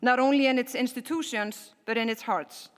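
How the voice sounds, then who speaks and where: A woman's voice: fairly high pitch, fairly even intonation, moderate pace, a bit bright. A woman member of parliament giving a formal speech in rhetorical, repeated parallel phrases. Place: large parliament meeting hall. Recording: long reverb of a large hall.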